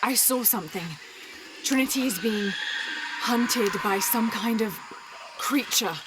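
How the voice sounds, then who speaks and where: A woman's voice: a little high, unhurried, very noisy, natural voice. A woman with an English accent playing a determined young archaeologist adventurer in a naturalistic video game cutscene. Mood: alarmed, insistent. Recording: loud music under the voice.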